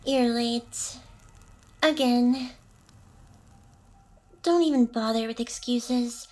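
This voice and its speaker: A woman's voice: fairly high pitch, drawn-out words, mellow. A woman playing an ancient, smug, seductive demon boss in an ASMR roleplay, with an intimate, teasing delivery. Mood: jealous, cold.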